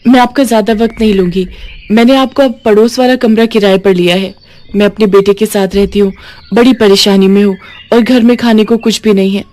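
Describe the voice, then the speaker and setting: A woman's voice: mid-range voice, fairly even intonation, a little fast, dark tone, pitched above the performer's own voice, lightly acted. A woman voicing a humble, anxious young widowed mother in a moral story. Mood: pleading.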